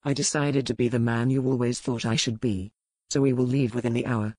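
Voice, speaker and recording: A man's voice: medium pitch, fairly even intonation, brisk, darkish tone, dry recording, close to an everyday voice. Man acting a cool, mocking pirate lord. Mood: earnest. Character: roguish, cool-headed aristocratic pirate lord, dangerous and mocking. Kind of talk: dramatised historical romance audiobook.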